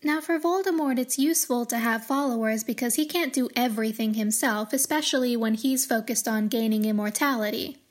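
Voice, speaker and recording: A woman hosting a podcast, giving measured, articulate commentary on a fantasy book series in a scripted, explanatory style. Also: on the high side. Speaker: podcast host.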